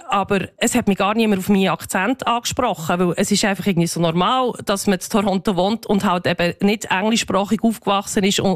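A woman's voice: medium pitch, no echo, studio quality. A Swiss woman speaking with a Swiss German accent, a foreign correspondent answering radio interview questions thoughtfully, with fillers and restarts. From Switzerland.

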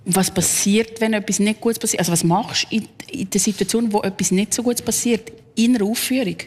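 A woman with a Swiss German accent hosting a radio interview, chatty and quick to laugh at herself. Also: medium pitch, steady tone, darkish tone, slightly rough, long reverb tail. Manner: chatty, laughs often, self-deprecating. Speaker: radio host. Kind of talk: interview chat.

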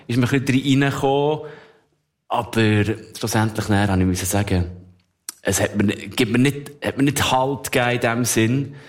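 A man in his thirties speaks with a Swiss German accent at a middle pitch. He chats casually as a podcast guest. He tells his stories with animation, laughs often and breaks off and restarts his sentences. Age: 30s. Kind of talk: casual conversation.

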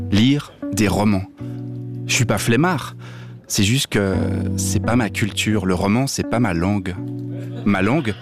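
A man voicing a blunt deaf man, low voice. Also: lightly acted. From Switzerland. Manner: blunt, mildly provocative, direct address. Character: deaf man who dislikes reading novels, blunt. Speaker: radio columnist. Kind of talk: scripted first-person monologue over music. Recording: music playing underneath.

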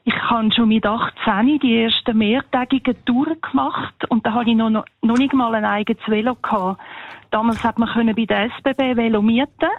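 A woman's voice: fairly high pitch, steady tone, brisk, dry recording, narrow, tinny audio. A woman with a Swiss German accent, an interview guest casually telling personal experiences, in band-limited sound.

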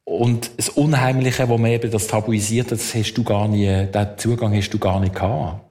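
A man with a Swiss accent interviewing in a conversational way, feeling out his phrasing in a halting manner. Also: fairly low pitch, steady tone, a little mellow.

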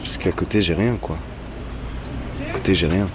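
A man's voice: sits low, moderate pace, heavy background noise. A young man, an actor, answering interview questions hesitantly, often repeating words and laughing. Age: young adult. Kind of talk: casual interview talk.

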